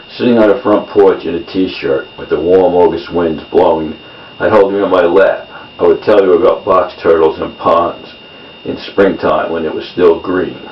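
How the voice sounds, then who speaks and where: A man reading his own poems in a measured delivery, in short phrases. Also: deep voice. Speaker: poet. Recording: roomy, distant mic, dull sound, faint noise.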